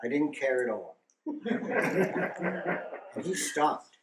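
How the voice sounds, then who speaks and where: An older man telling stories slowly and wryly at a memorial gathering, pausing to gather his thoughts. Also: mid-range voice, expressive, very noisy. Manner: wry, halting, stops to gather thoughts. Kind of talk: slow rambling storytelling. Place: meeting room with a live audience. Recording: echoey room from far off.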